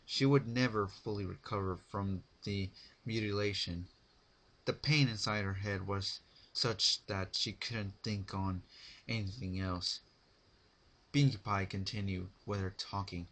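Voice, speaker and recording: A man's voice: lowish voice, fairly even intonation, a little slow, fairly bright tone. A man narrating a piece of fan fiction as a dramatic reading.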